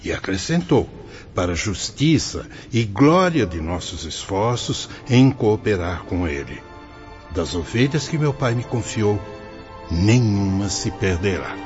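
A wise and fatherly spirit guide played by a man lectures with solemn formality in a radio drama. The pitch rises and falls expressively, and the sound is lo-fi with music underneath. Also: sing-song, a little slow, darkish tone. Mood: fatherly, fervent. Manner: solemn, didactic, formal oratory. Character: wise, fatherly male spirit mentor explaining afterlife rules. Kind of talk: radio soap opera acting.

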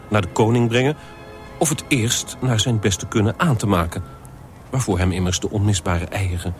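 A man's voice: lowish voice, natural voice. A man playing an earnest, stiffly polite story reader, formal and solemn, in an absurdist radio play. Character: earnest, stiffly polite man reading short stories aloud.

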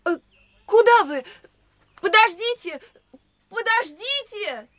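A woman's voice: very high-pitched, expressive, slow pace, a bit bright, no echo, full character voice. An actress playing a polite, airy young woman from a detective audio play. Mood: concerned. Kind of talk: audio drama acting.

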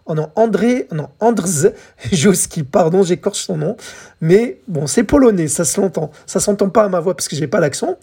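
A woman with a slightly low, husky voice presents as a podcast host. She explains in a conversational way, chatting straight to the listener and correcting herself as she goes. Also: expressive, moderate pace, warm tone. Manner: chatty, self-correcting, addresses listeners directly.

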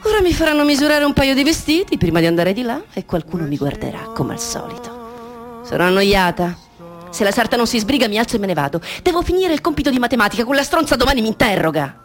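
A woman's voice: a little high, very animated, fast talker, soft background music. A woman playing a bored, capricious schoolgirl in a radio drama monologue, musing in a girlish, petulant manner. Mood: bored, impatient.

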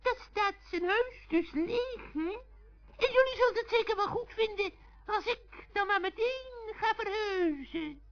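An actor playing a cheeky, gleeful old forest witch in a children's radio play, with cackling, mock-sweet drawn-out talk. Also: very high-pitched. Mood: gleeful, scheming. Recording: dull, muffled old radio recording.

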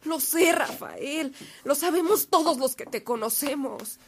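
A loyal, concerned sister in a radio drama. Her voice is high and tender, with faint noise behind it. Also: measured pace.

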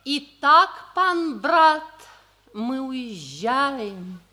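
A woman's voice: high-pitched, sing-song, extremely slow, sharp tone, exaggerated voice. An actress playing an imperious, gushing matronly mother in a grand, affected 1960s radio comedy style.

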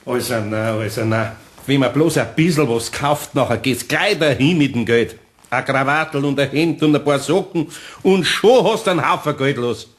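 A middle-aged Bavarian master craftsman, played by a male actor in a children's radio play. His voice is husky and mid-pitched, and he grumbles and sighs in homely dialect, yet stays good-natured and patient. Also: moderate pace, close to an everyday voice. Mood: grumbling, annoyed. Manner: grumbling, sighing, patient explaining.

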